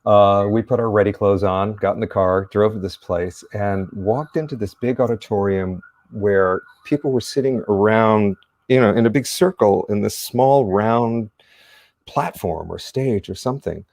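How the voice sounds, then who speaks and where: Older man, very low voice, dry close sound, reflective rambling storyteller, from San Diego. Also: normal speed, a little mellow, no room sound. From San Diego, United States. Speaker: guest, theater actor. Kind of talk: casual interview chat, storytelling.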